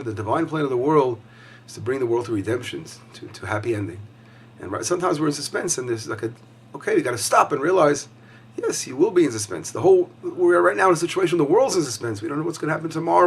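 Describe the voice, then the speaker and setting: A hoarse man teaching a weekly Torah lesson as a rabbi, talking conversationally with frequent fillers and restarts. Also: a little high, lively intonation, fast talker.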